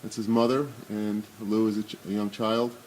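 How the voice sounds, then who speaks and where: A man in his sixties with a booming voice, giving an unscripted talk at a remembrance service with many pauses and fillers, then reading memoir excerpts aloud. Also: little pitch movement, a little mellow, a little hiss or hum, low-quality recording. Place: synagogue hall. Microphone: speaking without a microphone.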